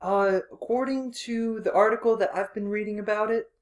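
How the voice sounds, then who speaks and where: A man's voice: high voice, steady tone, a little fast. A man co-hosting a casual podcast chat, easygoing and self-deprecating. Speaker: podcast co-host.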